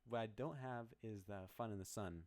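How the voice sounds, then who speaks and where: A man with a very low voice casually walking through his own song's project file, rambling and poking fun at himself. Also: normal speed, darkish tone. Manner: rambling, self-deprecating, many restarts. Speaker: musician explaining his song.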